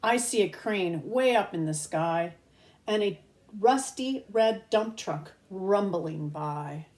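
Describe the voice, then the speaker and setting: A woman reads a picture book aloud in the manner of a preschool teacher. Her delivery is slow and expressive, pitched for small children, with an average-pitched voice. She pauses to point things out and explain words simply. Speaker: pre-kindergarten teacher reading aloud.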